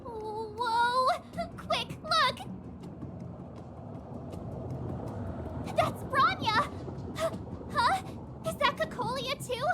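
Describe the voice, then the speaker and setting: An actress playing a perky, excitable, naive young girl adventurer in a video game. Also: extremely high pitch, very slow, exaggerated voice. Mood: excited alarm. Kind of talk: English game voice acting, cutscene dialogue. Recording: music behind the voice.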